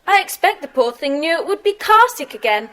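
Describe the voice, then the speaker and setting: Observant schoolgirl, high voice, animated, frank and chatty, children's audio drama. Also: a little fast. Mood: matter-of-fact.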